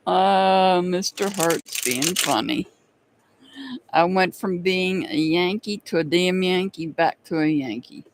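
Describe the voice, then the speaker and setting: A man's voice: sits high, drawn-out words, pauses often. A man from the United States with a slight Southern accent, hosting a live tarot stream in a chatty way, laughing often and answering viewers by name. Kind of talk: casual live-stream chat.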